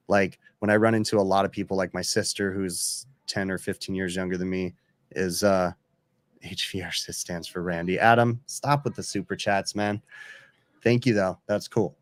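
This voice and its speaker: Low-voiced man hosting a casual livestream. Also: no room sound. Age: 40s. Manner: chatty, halting, many fillers. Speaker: livestream host. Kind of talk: casual live Q&A chat.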